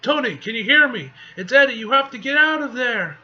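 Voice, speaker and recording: A man voicing embattled superheroes from a comic book, urgently calling for backup in a forceful, stumbling delivery. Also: high-pitched, expressive, normal speed, pitched above the performer's own voice. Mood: desperate panic. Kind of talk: amateur comic book read-aloud acting. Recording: dull lo-fi mic with faint noise.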